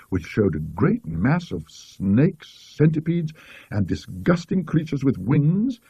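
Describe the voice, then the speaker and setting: A man's voice: mid-range voice, mellow, husky, no room sound, muffled recording, full character voice. A British man voicing an indignant, gossipy country lady in a storybook, emphatic and disapproving.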